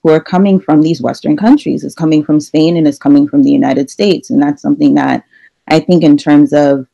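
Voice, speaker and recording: A woman's voice: deep voice, steady tone, fairly quick, gravelly, dark tone, lo-fi sound. A woman panelist on a video call, speaking earnestly and building a case point by point. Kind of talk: unscripted panel discussion.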